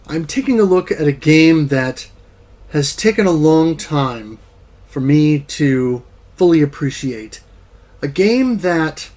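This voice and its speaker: Higher-voiced man narrating a scripted retrospective. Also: darkish tone. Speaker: host of a retro gaming series.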